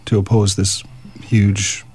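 A man's voice: on the low side, flat delivery, slow pace, darkish tone, breathy edge. A middle-aged man from Canada, a guest on a radio talk show, talking about his work in a wry, easygoing way. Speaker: guest activist. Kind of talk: radio interview. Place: radio studio.

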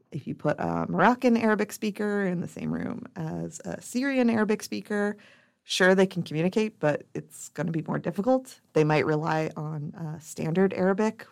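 Woman, low pitch, gravelly, casual explainer, chatty podcast co-host. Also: a little mellow. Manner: thinks aloud, restarts sentences.

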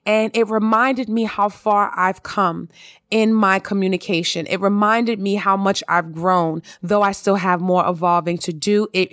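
A married woman hosting her own podcast and talking straight to her listeners in an emphatic, expressive way, repeating lines to drive them home. Her voice sits at an ordinary pitch for a woman. The recording is dry and close, with no room sound. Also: no room sound. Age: adult, married. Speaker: podcast host. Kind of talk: casual monologue.

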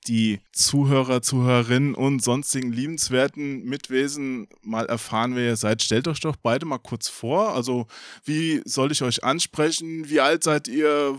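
A man's voice: mid-range voice, normal speed, no echo, clean studio sound. A man hosting a podcast and chatting casually with guests in a jokey, teasing way. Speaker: podcast host.

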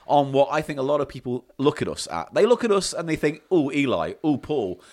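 Middle-aged man, a bit drunk, crude and giggly, swooping animated voice. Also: medium pitch, a little fast. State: a little tipsy. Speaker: podcast co-host. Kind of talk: bawdy casual chat.

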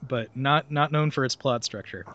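A man's voice: mid-range voice, moderate pace, fairly bright tone, highs cut off. A man laughing through a wiki read. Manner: reads aloud with laughs and sarcastic asides. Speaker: podcast host reading a film synopsis. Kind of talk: casual comedic podcast chat.

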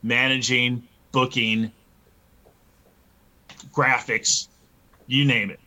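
A man's voice: mid-range voice, level tone, drawn-out words, sharp tone. A man of fifty-one speaking casually and earnestly as an interview guest, feeling his way through his phrases. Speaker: interview guest, metal band musician.

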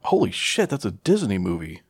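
A man's voice: low-pitched, wildly swooping pitch, normal speed, husky, no echo, studio quality. A man co-hosting a podcast, bantering casually about movies in slangy, foul-mouthed talk. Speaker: podcast co-host.

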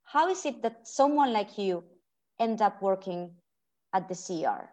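A woman's voice: mid-range voice, lively intonation, moderate pace, a little mellow. A woman with a Spanish accent hosting a policy podcast, speaking in a friendly way with hesitant restarts. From Spain. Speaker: podcast host, research fellow. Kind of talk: podcast interview.

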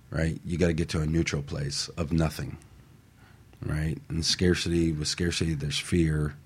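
A man with a deep, slightly rough voice speaks as a panelist on a talk show. He talks in a casual, earnest, motivational way and often checks that listeners follow him. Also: a little mellow.

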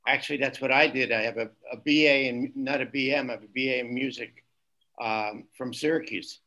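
A man co-hosting a radio interview, asking short questions and giving quick acknowledgements. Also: mid-range voice, fairly even intonation, bright, forceful tone. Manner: brief backchannel replies, asks short questions. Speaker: radio show co-host.

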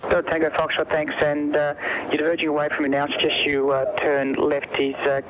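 Noisy radio, fast-talking Australian air controller man. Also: a little high, monotone. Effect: aviation VHF radio. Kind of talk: aviation radio communication.

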